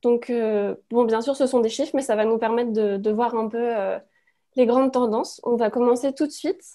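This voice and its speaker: Woman co-leading a webinar, mellow and a little high, hesitant with fillers. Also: normal speed. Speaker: co-facilitator running a quiz. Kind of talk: webinar presentation. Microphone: video call.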